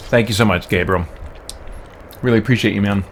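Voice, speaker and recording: Low-voiced man chatting casually on stream. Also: fairly even intonation, fairly quick, a little hiss or hum. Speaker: live streamer playing a video game. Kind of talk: casual livestream chat.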